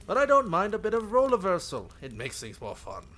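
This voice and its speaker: Visual novel princess, played by a man: high, lilting, sweet, doting and coy. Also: wildly swooping pitch, brisk, faint music behind, full character voice. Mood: playful. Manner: coy, gentle, tender.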